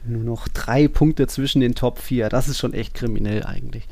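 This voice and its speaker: A man's voice: mid-range voice, normal speed, creaky, darkish tone, no echo. A man co-hosting a football podcast in casual discussion, speaking colloquially with exclamatory turns of phrase.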